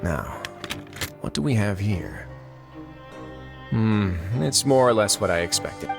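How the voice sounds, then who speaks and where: Game role: wry, composed warden-duke, low and measured. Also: male voice, very animated, full character voice. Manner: smooth, measured and courteous. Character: suave male prison duke, calm and wry. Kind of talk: video game voice acting. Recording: game audio with music behind.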